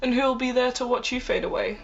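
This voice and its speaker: A woman's voice: on the high side, fairly quick, vocal fry, lo-fi sound, faint music behind. A woman playing a sassy, sceptical and curious travelling companion in an audio drama. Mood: wistful.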